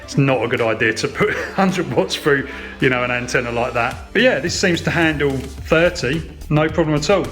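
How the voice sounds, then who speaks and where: A man talks casually as a hobbyist video host, in a mid-range voice. His delivery is relaxed and matter-of-fact, like an informal demonstration. Faint music plays behind him. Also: medium pitch, normal speed.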